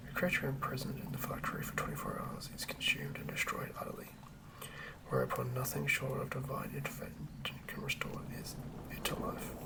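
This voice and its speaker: Male ASMR narrator, whispered and creaky, hushed measured reading of fantasy lore. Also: mid-range voice, rough recording. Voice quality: whispered, breathy and gravelly. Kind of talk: ASMR whispered read-through of a fantasy monster manual.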